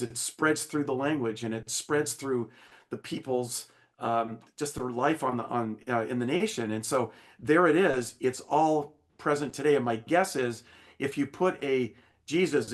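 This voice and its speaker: American male co-host, chatty and discursive, talks his way through points, mid pitch. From United States. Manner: conversational, discursive, animated. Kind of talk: casual panel discussion.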